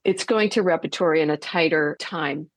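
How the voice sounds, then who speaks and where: A woman with a markedly low-pitched voice talks as a radio interview guest. She is conversational and explanatory, thinking as she goes. The recording is tight and dry. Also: normal speed, dry recording. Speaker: theatre artistic director, interview guest.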